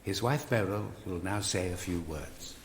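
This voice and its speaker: A man's voice: low voice, unhurried, slight background noise. A man playing a solemn minister giving a funeral address in an audio drama. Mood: solemn, reverent. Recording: echoey hall, background ambience.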